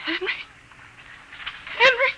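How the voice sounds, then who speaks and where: A woman's voice: slow, close to an everyday voice. An actress playing a terrified, frantic mother in hiding, pleading breathlessly in a 1950s radio drama style. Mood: hysterical dread. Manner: breathless, urgent pleading and whispering. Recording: noisy old radio drama recording.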